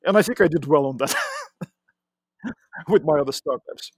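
A middle-aged man from Russia with a Russian accent, a podcast guest telling his career story with many pauses and fillers. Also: on the high side, animated, breathy edge, no room sound, studio quality. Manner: hesitant, many fillers and restarts. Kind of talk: interview conversation.

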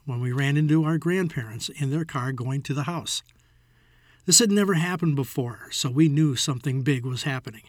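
A man's voice: a little high, no echo, clean studio sound. A man in his sixties with an American accent, reading his own written childhood memoir as a scripted narration. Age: 60s.

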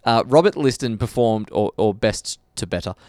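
A man's voice: on the low side, moderate pace, no room sound, studio quality. A man co-hosting a podcast, telling jokey stories in casual chat. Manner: animated, jokey storytelling. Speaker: podcast co-host.

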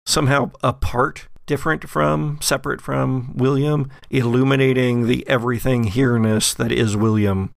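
A man narrating a horror tabletop game as game master, in a deliberate, descriptive, suspenseful storytelling way. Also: mid-range voice, steady tone, gravelly, no room sound, clean studio sound.

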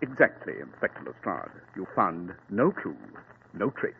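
An actor playing a brisk, cool-headed male detective in a crisp, clipped, matter-of-fact manner, in 1940s radio acting. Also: deep voice, sing-song, heavily acted. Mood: calm. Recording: tinny lo-fi old radio broadcast with faint hiss.

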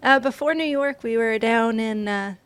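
A woman from the United States talks as a guest in a relaxed interview. Her voice sits fairly high, and she laughs easily as she rambles through her answers, often trailing off before starting again. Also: normal speed, smooth. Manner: chatty, laughs often, trails off mid-sentence. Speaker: burlesque performer, interview guest. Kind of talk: casual interview chat.

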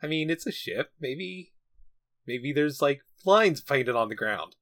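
A man's voice: a little high, lively intonation, measured pace, fairly bright tone, dry recording. A man improvising casually as a tabletop game player, tentative and thinking aloud. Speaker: guest player.

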